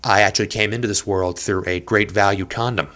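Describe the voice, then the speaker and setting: A man's voice: fairly low pitch, fairly even intonation, a little fast, bright, forceful tone. A young man hosting a casual solo podcast from a small apartment, rambling and self-deprecating, with a nose stuffed up from allergies. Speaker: podcast host.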